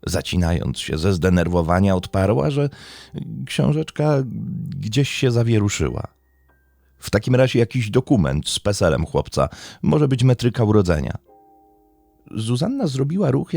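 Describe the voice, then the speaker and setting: A man narrating a scripted true crime story in a measured, dramatic storytelling manner. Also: on the low side, gravelly, dark tone, no room sound. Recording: faint music behind. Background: faint background music.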